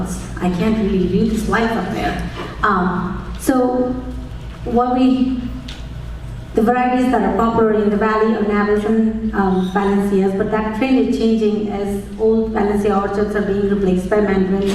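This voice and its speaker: A woman, giving a measured conference talk as an entomologist, often pausing with "um". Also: mid-range voice, darkish tone. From Nepal. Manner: measured, many "um" pauses, friendly and explanatory. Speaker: extension entomologist speaking at a conference. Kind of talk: conference presentation. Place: large conference hall. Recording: echoey hall, voice from far off mic, faint noise.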